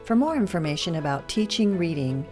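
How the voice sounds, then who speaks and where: A woman's voice: fairly low pitch, very animated, a little fast, darkish tone, soft background music. A woman announcer reading a scripted closing message for a programme.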